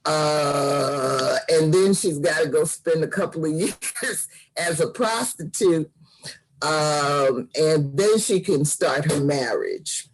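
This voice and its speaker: A man co-hosting a book discussion, speaking haltingly with many fillers and short laughs between words. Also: fairly high pitch, a little hiss or hum, poor audio.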